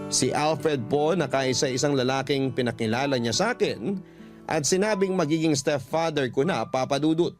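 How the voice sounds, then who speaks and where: Radio drama narrator: man as wounded young Filipino, confiding, higher-pitched, clear guitar music behind. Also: natural voice. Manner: confiding, respectful. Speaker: letter-sender narrator.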